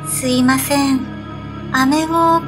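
A woman's voice: sits high, steady tone, extremely slow. A woman playing a mysterious, politely pleading ghost in a radio drama. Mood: eerie, polite. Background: music.

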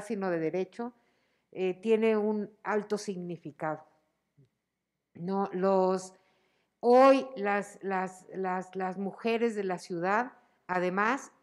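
Slow-spoken Mexican woman giving a speech. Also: medium pitch, a little mellow. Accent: Mexican Spanish. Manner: slow and measured, many fillers and restarts. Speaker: human rights commission president. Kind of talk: unscripted award ceremony speech. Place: indoor event stage. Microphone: handheld mic, masked.

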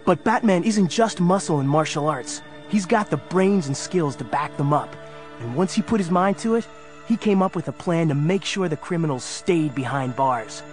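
An actor playing an earnest, youthful superhero sidekick, narrating a children's audio drama. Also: male voice, fairly high pitch, expressive, normal speed, lightly acted. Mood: earnest storytelling. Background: music.

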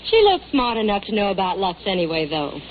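A woman's voice: mid-range voice, very animated, fast talker. An American woman reporter giving scripted chat for a 1940s radio advertisement. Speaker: radio show reporter and sponsor pitchwoman. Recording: tinny, hissy 1940s radio recording.

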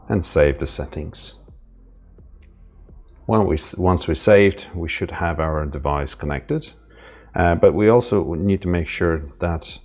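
A man's voice: low voice, darkish tone. A man from Ireland casually walking through an intercom setup step by step, with a few fillers. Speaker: installer demonstrating setup. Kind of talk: casual tutorial narration.

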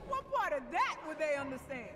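An actress playing a brash, streetwise New York transit worker in a game, talking in a sassy, emphatic, colloquial way. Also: female voice, high voice, sing-song, brisk, very noisy. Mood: exasperated. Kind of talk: animated game cutscene acting.

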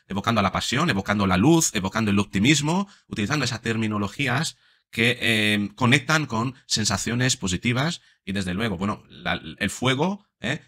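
Commentator, male, 40s, accent of Spain. Lowish, bright voice, explanatory and conversational, close-miked in a home studio. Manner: explanatory, often adding question tags. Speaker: commentator, psychologist. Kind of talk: casual speech analysis to camera. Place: small home studio room. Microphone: desk microphone up close.

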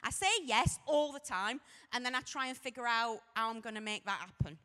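A woman's voice: a little high, fairly quick, bright, forceful tone. A woman vicar in her forties with a Sheffield accent, telling her own story to a young crowd in a chatty, self-mocking way on a stage, holding a microphone. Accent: Sheffield English. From Sheffield, England. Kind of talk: humorous personal testimony to a youth audience. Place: large hall stage. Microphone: handheld microphone. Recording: echoey hall.

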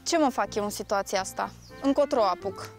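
A woman's voice: mid-range voice, over music, natural voice. An actress playing a young woman from a poor family who pleads and defends herself. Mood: pleading.

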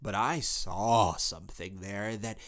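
A man voicing an old, polite, well-traveled female alley cat in a storybook, with a halting, hesitant delivery. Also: on the low side, a bit bright. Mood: wistful, reminiscing.

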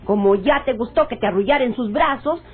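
Old dull radio drama sound, a pleading mexican country woman, high voice, insistent and melodramatic. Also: a little fast, husky. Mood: bitter, angry. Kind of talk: radionovela acting.

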